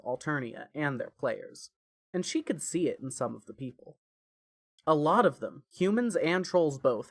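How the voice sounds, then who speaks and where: A woman's voice: a bass voice, no room sound. A woman reading scripted fan fiction as the narrator of an audio story.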